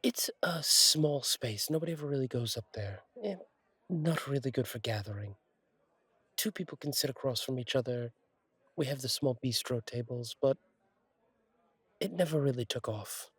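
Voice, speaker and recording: A man playing a friendly, courteous angel bartender in an intimate roleplay audio, speaking gently with thoughtful pauses. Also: mid-range voice, husky, no echo. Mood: warm, reassuring. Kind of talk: intimate roleplay audio acting, one side of a conversation.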